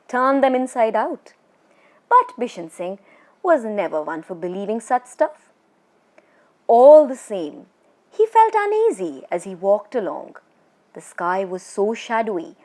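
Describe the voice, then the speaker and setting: A woman reads a scripted scary story for children as a storyteller, with a dramatic, suspenseful and expressive delivery. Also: medium pitch.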